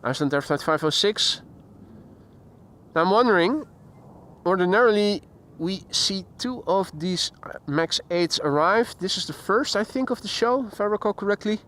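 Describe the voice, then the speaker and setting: A man's voice: high-pitched, animated, moderate pace, a bit bright. A man hosting a casual live stream outdoors, chatting with viewers and greeting them by name. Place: outdoors near an airport. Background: faint outdoor traffic and voices.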